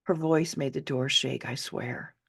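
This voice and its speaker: A woman's voice: rumbling low, steady tone, unhurried, creaky, breathy edge. A woman in her fifties reading her own memoir as an audiobook, with theatrical, emotive and emphatic delivery. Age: 50s. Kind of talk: dramatic first-person audiobook narration.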